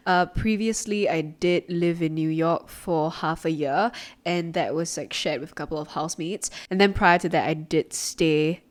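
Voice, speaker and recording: Chatty young Singaporean woman, lowish voice. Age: 20s. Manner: chatty, lots of "like" fillers and quick asides. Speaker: podcast host. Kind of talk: casual solo podcast talk. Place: her own apartment, indoors.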